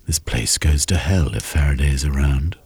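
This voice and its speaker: A man voicing a vain, touchy gentleman at a club dinner, pompous and petulant. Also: extremely low pitch, moderate pace, slightly rough, no room sound, heavily acted.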